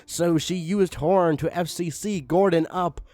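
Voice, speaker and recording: A man's voice: on the high side, measured pace, a little mellow, no room sound. A man giving a comedic dramatic reading of fan fiction, theatrical, with exasperated asides. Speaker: fan fiction reader, channel host.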